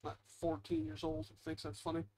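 Higher-voiced man rambling over gameplay. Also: monotone, fairly quick, dark tone, a little hiss or hum. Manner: rambling, self-deprecating muttering. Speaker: gaming video host. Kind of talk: casual gameplay commentary.

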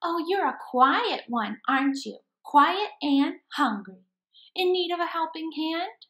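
A woman voicing a kindly old grandmother from a comic storybook, with a gentle, cooing manner. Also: extremely high pitch, lively intonation, unhurried, reverberant, voice pushed up, heavily acted. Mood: tender.

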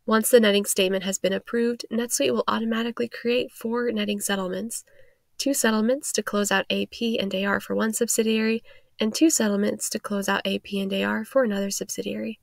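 Woman doing explainer voiceover, bit of vocal fry, dry close sound, instructional. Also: mid-range voice, level tone, brisk, no room sound. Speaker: tutorial narrator. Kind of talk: scripted explainer narration.

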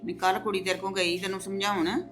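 A woman's voice: mid-range voice, little pitch movement, quick pace, fairly bright tone, faint music behind, lightly acted. A woman playing an older mother-in-law who reasons firmly and soothes her daughter-in-law in a family drama. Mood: reasoning. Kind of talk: domestic drama acting.

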